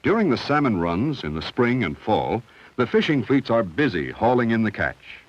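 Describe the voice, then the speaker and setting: A man reading scripted narration for a 1970 educational film in a measured, instructional, clearly enunciated way. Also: medium pitch, very animated. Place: studio voice-over. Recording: dull, dry vintage film soundtrack.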